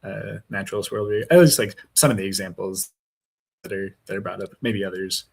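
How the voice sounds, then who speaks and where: A man calls into a live stream with a fairly low voice. He talks tentatively, stopping often to search for his words with plenty of fillers. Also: fairly quick, creaky, breathy edge, poor audio. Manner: hesitant, lots of fillers, tentative. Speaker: caller. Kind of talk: casual discussion.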